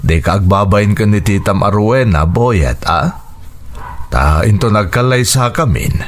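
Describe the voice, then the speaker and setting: Man acting a deep-voiced, animated, loving father. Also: unhurried, a little hiss or hum. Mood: affectionate, coaxing. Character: affectionate older widowed father courting a younger woman. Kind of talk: Ilocano radio drama acting.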